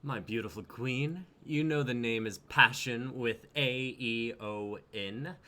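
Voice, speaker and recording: A man's voice: mid-range voice, takes it slowly, pushed and bright. A young adult man acting out dialogue in a dramatic script reading. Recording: noticeable background noise, online stream.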